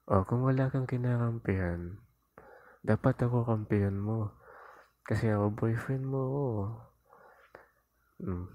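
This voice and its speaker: A man acts out a young Filipino boyfriend in an intimate ASMR roleplay. He is jealous and possessive, sulking and whining playfully at his partner. His medium-pitched voice is recorded close and dry on a lapel mic. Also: a little slow, long pauses, no echo, muffled recording, close to an everyday voice. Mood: possessive, sulky. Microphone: lapel mic into a phone, close.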